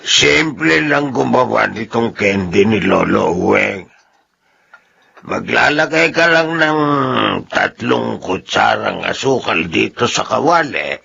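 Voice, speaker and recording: Creaky, doting old grandfather. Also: on the low side, expressive, bright, forceful tone, exaggerated voice. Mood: gentle instructing. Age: older. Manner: slow, tender, fussing. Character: doting, hardworking old grandfather who sells homemade candy. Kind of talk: Filipino radio drama acting. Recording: dull, slightly noisy radio recording.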